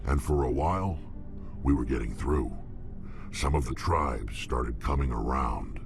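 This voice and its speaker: Extremely deep, gravelly hulking alien brute, slow and blunt, game score behind. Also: male voice, full character voice. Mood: gruff. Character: old hulking male alien warlord, gruff and blunt. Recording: game audio with music under it.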